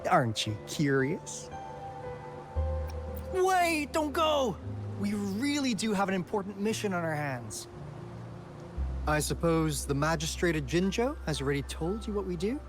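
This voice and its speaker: An actor playing a playful young investigator in a video game, a teasing storyteller with theatrical delivery and dramatic pauses. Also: male voice, a little high, lively intonation, lightly acted. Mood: explanatory. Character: roguish young male treasure-hunting investigator, playful storyteller. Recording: music behind the voice. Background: game music.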